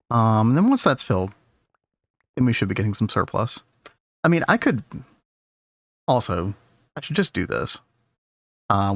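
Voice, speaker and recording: Laid-back male streamer, voice going hoarse. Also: lowish voice, no room sound. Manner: rambling, easygoing, talks to chat. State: losing his voice. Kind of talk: casual gameplay commentary.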